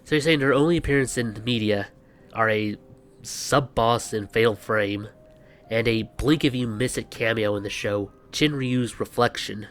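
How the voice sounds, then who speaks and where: A man with a mid-pitched voice presents a scripted explainer. He is wry and sarcastic, dropping exasperated asides like a host in a back-and-forth. Music plays lightly behind him. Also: normal speed. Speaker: video host. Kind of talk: scripted explainer narration with banter. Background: background music.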